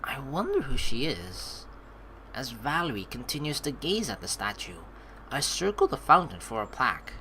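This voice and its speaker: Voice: mid-range voice, normal speed, a little hiss or hum, rough recording. A game streamer reading story dialogue aloud and throwing in joking, sarcastic reactions. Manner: animated, joking, sarcastic asides. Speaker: let's play streamer. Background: game music.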